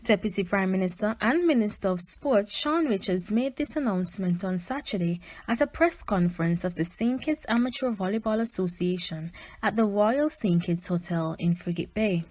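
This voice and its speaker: A woman's voice: medium pitch, lively intonation, moderate pace, hardly any pauses, a little mellow. A woman reading a scripted news report as a reporter.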